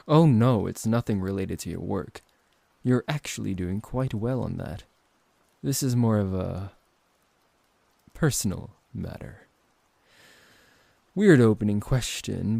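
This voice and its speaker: A man acting out a smooth-talking, possessive vampire professor in an intimate ASMR roleplay, politely condescending and teasing, close to the microphone. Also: lowish voice, mellow, no echo, full character voice. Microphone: close microphone, dry.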